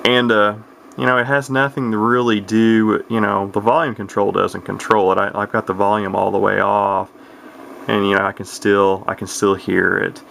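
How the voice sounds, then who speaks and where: A man's voice: lowish voice, little pitch movement, normal speed, vocal fry, a bit bright, a little hiss or hum. A man giving a casual, unscripted product walkthrough in a rambling, matter-of-fact way, as a hobbyist talking near his camera. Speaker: radio collector giving a product demonstration.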